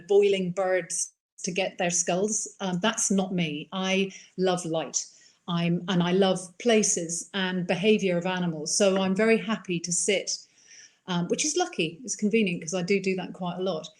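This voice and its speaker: A woman's voice: medium pitch, a little mellow. A middle-aged woman with an Irish accent, chatting enthusiastically as an interview guest, with plenty of fillers. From England. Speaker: wildlife filmmaker guest. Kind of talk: casual interview answers.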